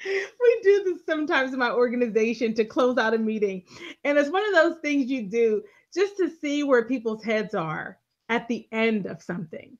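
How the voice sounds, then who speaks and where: Female co-host, slightly high, laughs easily, muffled lo-fi video call sound. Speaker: co-host of a live recap show. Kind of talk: casual live chat.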